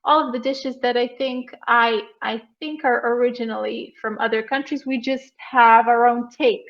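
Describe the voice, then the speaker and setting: A woman with a Serbian accent talks in a fairly high voice. She chats casually as a language tutor, and the sound is slightly muffled, like a lo-fi internet call. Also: steady tone, normal speed. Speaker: online English conversation tutor. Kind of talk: casual conversational chat. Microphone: online video call. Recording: muffled, lo-fi online call audio.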